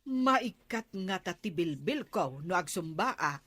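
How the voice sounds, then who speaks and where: A woman's voice: lowish voice, expressive, a little slow, a bit bright, no echo. A woman with an Ilocano accent playing a barangay captain's plain-spoken wife in a radio comedy drama, conversational with hesitant interjections. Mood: mildly complaining.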